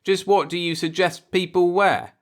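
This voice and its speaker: A man's voice: sits high, level tone, moderate pace, pitched above the performer's own voice, close to an everyday voice. A man voicing an earnest, law-abiding and easily alarmed man in a science-fiction audiobook, with an insistent manner. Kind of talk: audiobook character voice in a sci-fi story.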